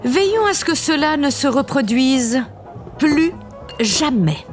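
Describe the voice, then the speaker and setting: An imperious, vain woman president, speaks in a high, sweeping voice. She is theatrical and haughty, as in an audio drama, over faint music. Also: sing-song, takes it slowly, heavily acted. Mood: cold, menacing.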